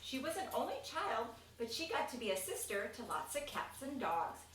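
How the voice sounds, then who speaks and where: Female storybook reader, higher-pitched and expressive, casual asides, recorded at a distance from the mic. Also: moderate pace. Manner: expressive, chatty, pointing out pictures. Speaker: reader of a children's picture book. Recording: echoey room, distant mic, faint noise.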